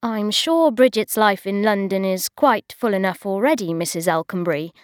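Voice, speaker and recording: A woman's voice: mid-range voice, animated, normal speed, dry recording, studio quality, close to an everyday voice. Female reader as buttoned-up young lawyer in a storybook; curt, polite, cool. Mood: cool, formal. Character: stiff, reserved young male lawyer.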